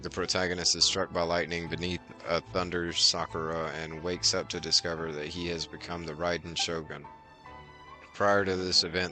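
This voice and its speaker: A male game streamer reads on-screen text aloud. His voice is deep and fairly monotone, yet bright and forward. Faint music plays behind him.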